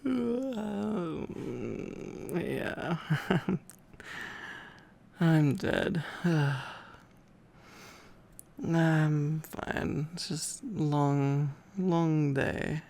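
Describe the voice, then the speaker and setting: A drowsy man speaks slowly with a heavy creak in his voice. He is playing an affectionate boyfriend in an intimate ASMR roleplay, rambling softly and often dropping to a half-whisper. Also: fairly high pitch. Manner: sleepy, affectionate, rambling, murmuring. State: tired.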